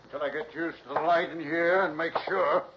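A man's voice: fairly high pitch, steady tone, fairly quick, natural voice. Male actor as tough, threatening police sergeant, barking and curt, vintage radio thriller, noisy dull recording. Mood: suspicious, gruff. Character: menacing, suspicious armed police sergeant, bullying tough guy. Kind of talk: 1960s radio drama acting.

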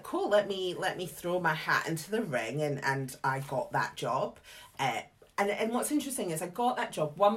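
Low-voiced Scottish woman, candid and lively. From Scotland. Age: 30s. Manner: lively, frank, casual asides, many fillers and restarts. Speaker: guest, theatre artistic director. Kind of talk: candid conversational storytelling.

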